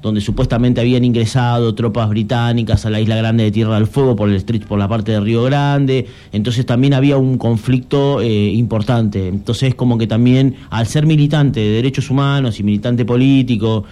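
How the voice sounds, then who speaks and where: A man's voice: mid-range voice, fairly even intonation, warm tone. Earnest Argentine male journalist. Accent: Argentine Spanish. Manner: earnest, explanatory, halting with restarts. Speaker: journalist guest. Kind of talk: conversational interview.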